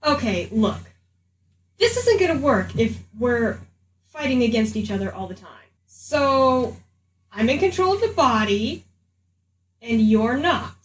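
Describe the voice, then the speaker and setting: A woman's voice: fairly high pitch, sing-song, a little slow, long pauses. A woman voicing a flippant, self-interested magic item with a casual, sardonic manner. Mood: firm, exasperated. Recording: noisy, echoey room, distant mic.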